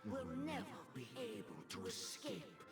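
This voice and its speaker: Game role: bodiless ancient ruler, grandiose and menacing, slow and hugely varied in pitch, distant and echoing. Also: female voice, very animated, gravelly. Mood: threatening. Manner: slow, ominous, formal pronouncements. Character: menacing, grandiose ancient master of dreams, bodiless hive being. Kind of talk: theatrical video game voice acting. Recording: echoing, processed game audio under loud music.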